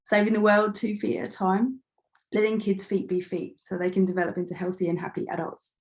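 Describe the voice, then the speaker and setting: A middle-aged woman with a slightly croaky voice, giving an informal, self-deprecating talk about herself as a guest speaker, often feeling for her words. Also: medium pitch, steady tone, brisk, rough recording. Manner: chatty, self-deprecating, hesitant phrasing. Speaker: start-up founder and guest speaker. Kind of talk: informal online talk about own background.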